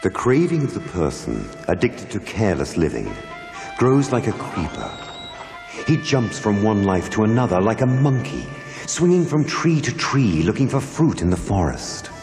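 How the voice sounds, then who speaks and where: A man acts out a calm, wise spiritual master in a cartoon. His solemn, measured preaching rings in a long hall reverb, with clear music behind it. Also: fairly low pitch. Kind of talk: cartoon voice acting.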